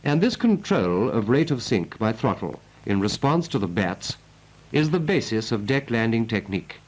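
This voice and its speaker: Lively 1940s British instructional narrator, male. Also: medium pitch, normal speed, poor audio. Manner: clipped, methodical, instructive. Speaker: flight instructor narrating a military training film. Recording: 1940s film soundtrack.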